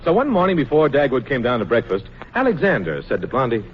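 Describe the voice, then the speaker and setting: A man's voice: mid-range voice, wildly swooping pitch, quick pace, slightly rough. A male announcer introducing a 1940s radio show in a showy, folksy way. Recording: muffled old radio recording. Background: loud music.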